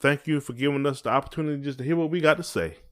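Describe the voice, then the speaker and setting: A man's voice: fairly high pitch, racing, a bit bright. A man co-hosting a podcast in casual conversation, with a folksy, colloquial way of talking. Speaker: podcast co-host.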